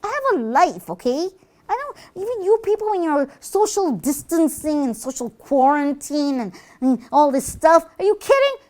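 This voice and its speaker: Woman voicing a cheeky high-pitched scheming devil puppet. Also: sing-song, normal speed, darkish tone. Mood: loud, exasperated. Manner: brash, wisecracking, exclamatory. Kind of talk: comic educational puppet show.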